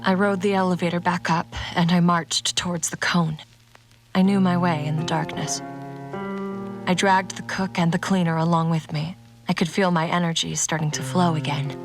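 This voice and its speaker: A determined, commanding woman, voiced by an actress, tells her own story in a slightly low voice. Her delivery is measured and intimate, an audio drama monologue with music playing behind her. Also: little pitch movement, lightly acted. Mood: resolute.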